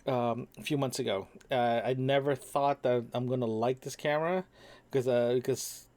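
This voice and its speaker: A man's voice: mid-range voice, steady tone, normal speed, a little mellow. Rambling man talking into a microphone. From Toronto, Canada. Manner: rambling, many ums and restarts. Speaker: podcast host. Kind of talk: casual audio diary. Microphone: single microphone.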